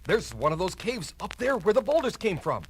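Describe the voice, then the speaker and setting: An actor playing a plain-spoken, skeptical astronaut in a 1970s audio adventure, talking in a blunt, folksy, emphatic way. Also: male voice, sits high, sing-song, fairly quick, a little hiss or hum, poor audio. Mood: puzzled. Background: faint music.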